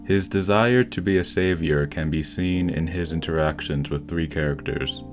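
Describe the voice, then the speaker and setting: A man's voice: sits low, moderate pace. A man narrating a scripted film analysis as a video essay voice-over. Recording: voice-over with background music. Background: instrumental music with plucked guitar.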